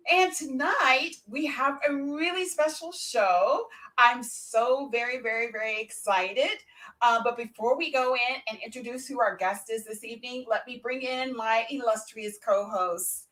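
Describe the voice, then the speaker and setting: A woman hosting an online talk show with excited introductions and banter, emphatically repeating words for effect. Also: fairly high pitch, unhurried.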